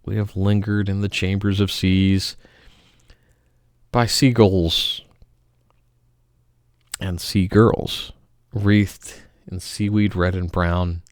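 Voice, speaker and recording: A man giving a theatrical, melodramatic poetry reading, swinging between loud outbursts and hushed murmurs. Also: sits low, level tone, slow pace, pauses often, no room sound, clean studio sound. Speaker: poetry reader.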